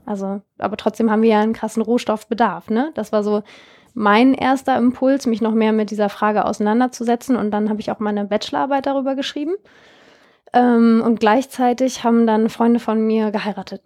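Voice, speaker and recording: Young German woman, company founder, chatty interview guest, voice a bit high. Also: fairly even intonation, a little fast, darkish tone. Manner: chatty, many fillers and restarts. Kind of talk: casual interview.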